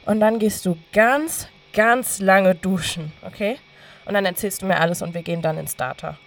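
Woman playing a young best friend, average pitch, casual and caring, gently pushy, audio drama. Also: normal speed, a little hiss or hum, lightly acted. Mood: caring, coaxing. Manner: casual, coaxing. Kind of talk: naturalistic audio drama dialogue.

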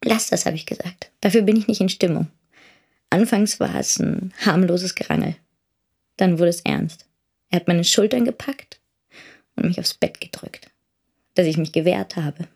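Husky, creaky, subdued young woman. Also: lowish voice, a little mellow, heavily acted. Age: young adult. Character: wounded, plain-spoken teenage daughter recounting her ordeal. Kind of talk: radio drama monologue.

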